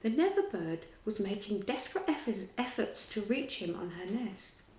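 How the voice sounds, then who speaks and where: A woman reading a children's storybook aloud in a slow, expressive way. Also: medium pitch, echoey room.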